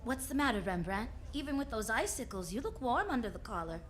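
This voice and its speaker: A woman's voice: a little high, very animated, a little fast. A woman playing a wisecracking, confident detective who tells her case in sassy slang, in old-time radio drama style. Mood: breezy, teasing. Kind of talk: 1940s radio drama acting.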